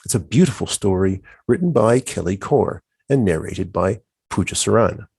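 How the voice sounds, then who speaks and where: Gravelly, lively-voiced male event host. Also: lowish voice, normal speed, no room sound. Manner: welcoming, announcing. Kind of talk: scripted event introduction.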